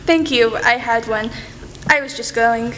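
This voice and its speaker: A young woman playing an outspoken, excitable teenage girl in a radio play, gushing and rambling bluntly. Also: on the high side, measured pace. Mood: frank, earnest. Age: teenager or young adult. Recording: echoey hall, faint noise.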